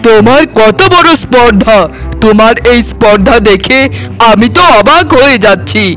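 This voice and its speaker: A man's voice: high voice, animated, normal speed, fairly bright tone, faint music behind. A man voicing a proud, doting but harsh landlord father in a theatrical, declaiming cartoon style. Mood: indignant. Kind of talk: dramatic cartoon voice acting.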